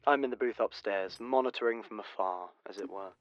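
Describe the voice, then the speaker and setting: A man's voice: on the low side, fairly quick, dry recording, close to an everyday voice. Polite, reassuring director, acted by a man, slightly muffled. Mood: reassuring, brisk. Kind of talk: radio drama acting.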